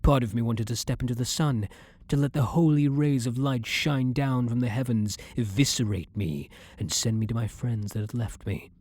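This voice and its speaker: A man's voice: mid-range voice, normal speed, a little mellow, dry recording, lightly acted. A man playing a centuries-old vampire hunter who is himself a vampire, blunt and brooding, in a dramatic ASMR roleplay monologue. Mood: haunted.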